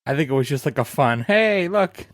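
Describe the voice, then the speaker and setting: A man in his late thirties co-hosting a podcast, offering quick trivia asides and poking fun at himself. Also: a little high, expressive, dry recording, clean studio sound. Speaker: podcast co-host. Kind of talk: casual chat.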